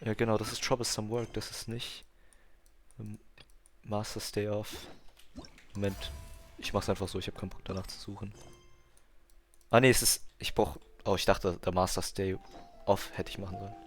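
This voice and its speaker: A man's voice: fairly low pitch, flat delivery, normal speed, long pauses, faint music behind. A young man with a German accent giving casual gameplay commentary, muttering to himself in a slangy way. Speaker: gamer playing and commenting.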